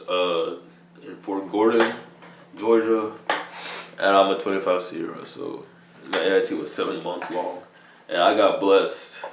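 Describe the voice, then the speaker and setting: A man's voice: low-pitched, echoey room, recorded from a distance, slight background noise. A man in his thirties from New York, a soldier casually rambling in slangy talk with lots of "bro" and swearing. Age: 30s. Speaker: vlogger, army soldier. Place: army barracks room.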